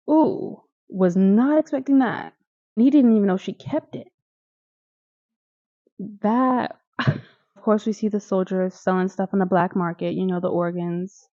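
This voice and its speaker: A young woman with a Midwestern American accent, chatting casually and animatedly as she recaps a TV series for her viewers, with quick asides and laughs. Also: medium pitch, mellow, no room sound, lo-fi sound. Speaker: video reviewer.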